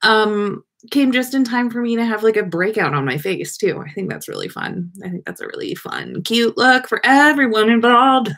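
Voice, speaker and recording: A woman's voice: on the high side, animated, normal speed, hardly any pauses, a bit bright. A woman hosting a casual livestream, chatting with viewers in a playful, rambling way as she thinks aloud. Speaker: livestream podcast host. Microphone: webcam livestream setup.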